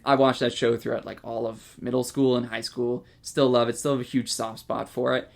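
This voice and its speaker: A Canadian man co-hosting a podcast, chatting casually about horror news with a relaxed, rambling manner full of "like" and "um". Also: medium pitch, a little fast, gravelly. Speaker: podcast co-host.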